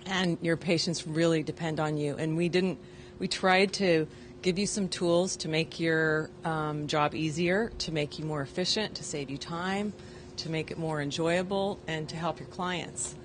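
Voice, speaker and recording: A woman's voice: low-pitched, steady tone, unhurried, background noise. A woman with a nursing and public health background presenting a training to case managers in an encouraging way. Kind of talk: conference presentation. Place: conference room.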